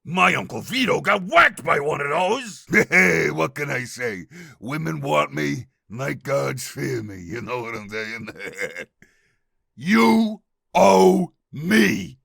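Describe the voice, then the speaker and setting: A man voicing a cocky, menacing wisecracking mobster fish for a video game, with a gravelly New York accent. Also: a little high, expressive, slow pace, sharp tone, no room sound, studio quality, full character voice. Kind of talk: video game character voice lines.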